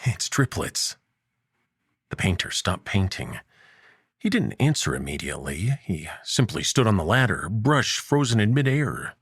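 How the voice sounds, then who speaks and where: A man narrating a scripted story in a dramatic, measured way with a gravelly, husky voice. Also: lowish voice, big pitch swings, no room sound, studio quality.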